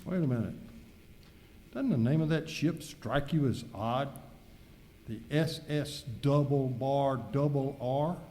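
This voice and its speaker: A man's voice: medium pitch, slow pace, warm tone, close to an everyday voice. A man playing a fretful, fussy western outlaw who dotes on a pet, in an amateur live radio skit in an echoey, noisy hall. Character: fretful outlaw doting on his pet armadillo.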